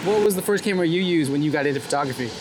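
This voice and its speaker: A man's voice: a little high, animated, rapid-fire. A man hosting a casual street interview, putting short questions to his guest. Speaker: interviewer, show host. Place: outdoors on a city street. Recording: street traffic noise, outdoors.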